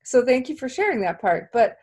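A woman's voice: fairly high pitch, big pitch swings, a little fast, darkish tone, slightly rough. A woman hosting a podcast interview, chatty and a bit rambling as she sets up her questions. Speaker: podcast host. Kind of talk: casual interview talk.

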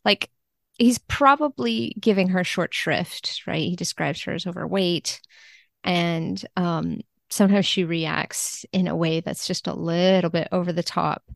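Low-voiced woman chatting enthusiastically, close and dry. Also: unhurried, gravelly, no room sound. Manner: enthusiastic, informal. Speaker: podcast guest, literary translator. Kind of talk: casual conversational interview.

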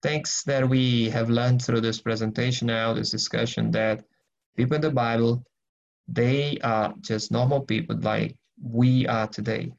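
Gravelly man leading Bible study. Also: mid-range voice, fairly even intonation, measured pace, highs cut off. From Brazil. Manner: earnest, rambling, frequent restarts and fillers. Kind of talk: casual teaching and prayer in an online group session.

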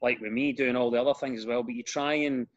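A man's voice: medium pitch, steady tone, fast talker, highs cut off. Glasgow guy, comedian, chatting easy and self-mocking, mid-range voice. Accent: Glaswegian. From Scotland. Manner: storytelling, self-deprecating. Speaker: comedian guest. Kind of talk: casual chat.

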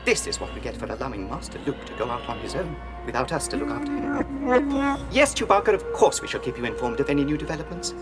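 Prissy robot butler, fretful, highish swoopy voice, radio drama w loud music. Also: male voice, huge pitch swings, fast. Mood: indignant, worried. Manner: prim, formal, fretful. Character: fussy, prim, anxious male protocol droid. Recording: loud music and effects behind.

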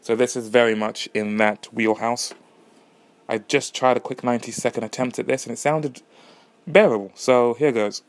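A man's voice: mid-range voice, fairly even intonation, normal speed. A man hosting his own podcast alone, musing in a rambling, self-deprecating way. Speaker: podcast host and musician. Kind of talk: casual solo podcast chat.

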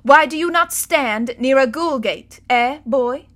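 A woman voicing a strict, stern older female tutor who gives commands in a clipped, forceful way. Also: high-pitched, measured pace, voice pushed up.

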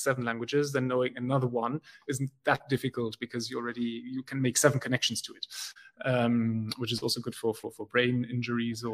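A man from Belgium, speaking as a guest in a casual conversation, often pausing with fillers and restarting his sentences. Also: mid-range voice. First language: German. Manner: hesitant, many fillers and restarts.

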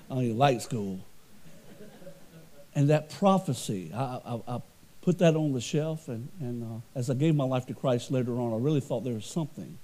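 Loud, earnest preaching man. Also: medium pitch, lively intonation, moderate pace, pauses often. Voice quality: loud voice that carries. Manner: earnest, conversational preaching with repeated restarts. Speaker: pastor. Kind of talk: sermon.

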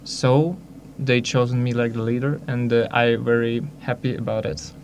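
Quiet, distant, halting guest. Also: medium pitch, little pitch movement, a little hiss or hum. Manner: soft-spoken, halting, far from the mic. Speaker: group leader and guest. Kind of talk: casual interview answers.

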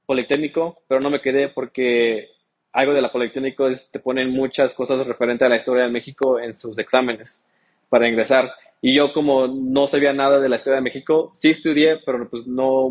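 A young man whose first language is English and who grew up in the United States speaks in a steady, flat tone as a guest in an interview. He hesitates often, restarts his sentences and fills gaps with small stalling words. His pitch stays at a middle level for a man. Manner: hesitant, many fillers and restarts. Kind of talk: casual interview talk.

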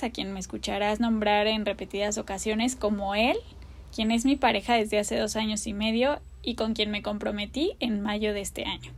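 A Mexican woman in her thirties, hosting her own podcast and openly introducing herself in an earnest manner. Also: a little high. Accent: Mexican Spanish. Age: 30s. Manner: open, earnest, warm self-introduction. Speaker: podcast host. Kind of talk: personal podcast monologue.

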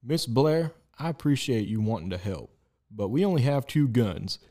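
A man's voice: mid-range voice, expressive, moderate pace, dark tone, natural voice. Male actor as wary Western protector, mid-range voice, curt and authoritative, audio drama. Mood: stern, guarded. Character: stern, practical Wild West man, wary protector. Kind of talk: amateur audio drama acting.

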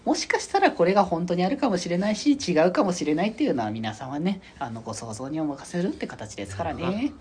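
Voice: mid-range voice, big pitch swings, quick pace, hardly any pauses, darkish tone, slightly rough. A podcast co-host who explains and moderates in a casual group chat.

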